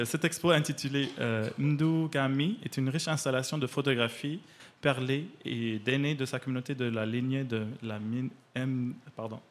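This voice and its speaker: A man with a medium-pitched voice and as his gives a prepared arts column. He partly reads his reviews and explanations in the manner of a cultural radio segment.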